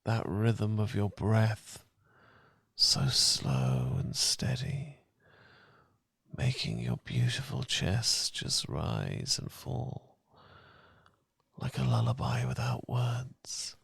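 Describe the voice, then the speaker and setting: A British man speaking as a loving boyfriend in a soft, husky, often whispered ASMR style, gentle, tender and unhurried, close to the microphone. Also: a bass voice, sing-song, no room sound. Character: loving, soothing boyfriend comforting his partner. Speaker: ASMR audio performer. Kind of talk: ASMR, slow romantic guided relaxation. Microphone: close microphone, dry.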